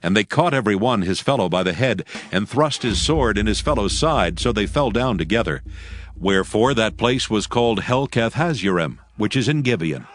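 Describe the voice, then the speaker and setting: A man narrating a dramatized scripture audiobook with solemn, measured phrasing. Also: on the low side, expressive, slight background noise.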